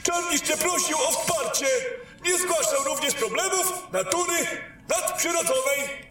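Court official, female actor: very high, bright voice, careful formal reporting, fantasy audio drama, echoing hall. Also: unhurried. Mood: formal, hesitant. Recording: very echoey, distant, music underneath.

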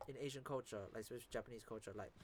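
A man's voice: medium pitch, rapid-fire, a little hiss or hum. A young man from the Philippines in casual chat, slangy, swearing often and repeating words.

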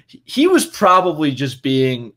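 A man's voice: a little high, slow. A young man appearing as a podcast guest who tells stories casually and with energy, restarting his sentences and acting out quotes. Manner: animated, lots of restarts and quoted voices. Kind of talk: casual anecdotal storytelling.

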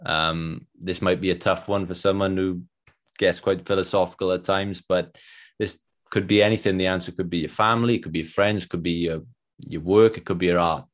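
A man's voice: deep voice, steady tone, a little fast. A man with a Welsh accent hosting a podcast interview, friendly and encouraging. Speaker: podcast host.

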